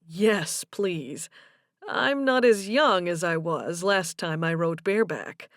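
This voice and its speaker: A woman voicing an earnest, gentle man in love in audiobook dialogue, with a sincere, measured delivery. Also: fairly low pitch, expressive, no room sound, studio quality. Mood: lightly teasing.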